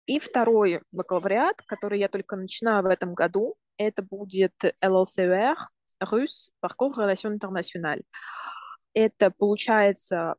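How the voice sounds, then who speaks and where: A woman in her twenties from Russia, a university student and podcast guest, talking casually and explaining things step by step, often pausing to rephrase. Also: mid-range voice, no room sound, clean studio sound. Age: 20s.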